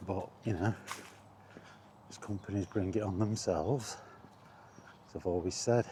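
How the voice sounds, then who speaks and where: A middle-aged man in an unhurried, halting, reflective solo chat as a gaming vlogger. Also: sits low, warm tone, slight background noise. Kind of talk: rambling casual chat about video games.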